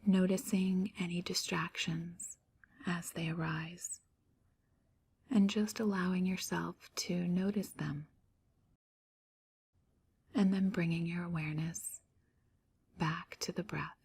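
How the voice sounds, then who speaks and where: A woman guiding a relaxation meditation in a soft, near-whispered, calm voice with gentle pauses. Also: on the low side, breathy edge. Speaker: meditation guide. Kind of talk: guided meditation.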